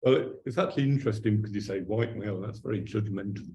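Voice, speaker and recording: A man's voice: lowish voice, fast talker, mellow, muffled recording. Wry man in a roomy hall. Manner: wry, thoughtful, hedging with "I suppose". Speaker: panelist. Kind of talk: panel discussion answer. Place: conference room.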